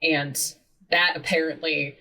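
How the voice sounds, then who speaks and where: A woman in her thirties, a podcast guest talking in a lively, casual way, full of "like" and casual swearing. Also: low-pitched, unhurried, distant mic, low-quality recording. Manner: animated, heavy use of "like", swears casually. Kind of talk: casual interview chat.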